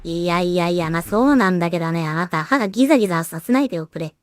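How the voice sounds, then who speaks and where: A woman's voice: lowish voice, lively intonation, rapid-fire. A woman thinking aloud in short fragments as she works, casually commenting on her creative process as a solo creator. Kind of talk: casual muttering to self while working.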